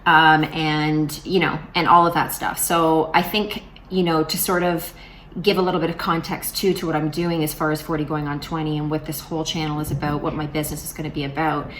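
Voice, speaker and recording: A woman's voice: deep voice, little pitch movement, fairly quick, fairly bright tone, a little hiss or hum. A woman in her forties talking casually to camera in a vlog, chatty and rambling, with lots of fillers and restarts. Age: 40s. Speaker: vlogger.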